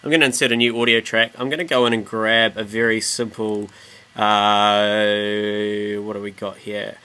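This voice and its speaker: A man giving a casual, informal software tutorial as a music production tutor. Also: fairly low pitch, flat delivery, normal speed, clean tone. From New Zealand. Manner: informal, mildly sweary. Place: indoors, voice-over on screen recording.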